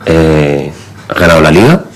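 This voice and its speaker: A man with a low voice hosting a relaxed radio podcast. He is a native Catalan speaker and talks in a chatty, wry way, laying out the news and football results with gentle irony. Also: normal speed. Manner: chatty, ironic, explanatory. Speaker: host. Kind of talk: casual radio podcast chat.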